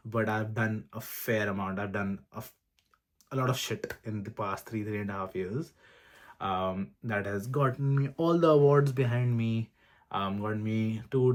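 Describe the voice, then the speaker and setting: A man in his twenties, from India, giving earnest, casual advice to camera. He lists things one by one and fills pauses with frequent ums. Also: lowish voice. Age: 20s. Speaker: vlogger sharing advice. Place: room with awards on display.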